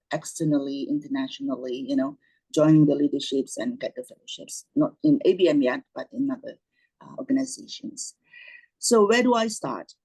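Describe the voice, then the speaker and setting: A middle-aged woman with a Malaysian accent and a husky voice, giving an informal online talk, often circling back and rephrasing. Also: sits low, darkish tone, low-quality recording. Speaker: doctor and lecturer giving a talk. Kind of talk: informal online presentation, reminiscing. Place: online video meeting with screen share.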